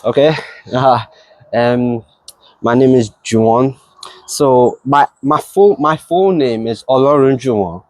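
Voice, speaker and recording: A man's voice: mid-range voice, takes it slowly, a little mellow, no room sound. Earnest young man. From Nigeria. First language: Yoruba. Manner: eager, earnest, laughs easily, repeats phrases. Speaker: new church digital creative, interviewee. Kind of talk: casual interview answers.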